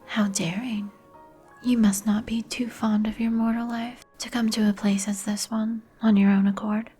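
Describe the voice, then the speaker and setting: A woman playing a melancholy, courteous vampire in a breathy whisper, with formal, old-fashioned phrasing for an ASMR roleplay. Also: on the high side, level tone, normal speed, dark tone. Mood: gloomy, cautioning. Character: melancholy, courteous vampire woman, turned young some 150 years ago. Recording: music behind the voice. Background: music.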